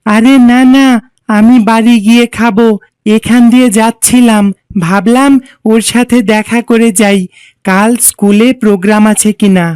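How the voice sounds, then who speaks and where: A woman's voice: fairly high pitch, steady tone, moderate pace, darkish tone, clear, resonant tone, no echo, lightly acted. A woman voicing a polite, considerate schoolboy friend in an Islamic cartoon, courteous and modest.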